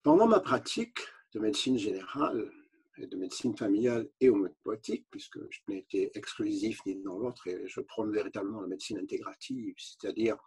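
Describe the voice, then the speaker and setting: An older man who grew up speaking English, a retired doctor explaining at length in a measured way, with frequent hesitations and restarts. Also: on the low side, lively intonation, darkish tone, breathy edge, rough recording. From Canada. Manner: unhurried, many hesitations and restarts. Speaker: physician. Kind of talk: explaining to an interviewer.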